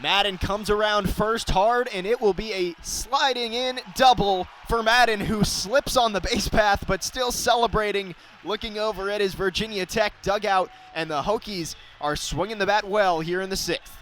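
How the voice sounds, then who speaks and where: A young man with a fairly high voice does live baseball play-by-play. He describes the action in detail and lifts his delivery for big plays. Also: normal speed, hardly any pauses, a bit bright, a little hiss or hum. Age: young adult. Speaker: play-by-play announcer.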